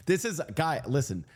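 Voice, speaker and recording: Lively, rambling male streamer. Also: on the high side, breathy edge. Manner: rambling, laughing, joking asides. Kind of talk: casual livestream commentary.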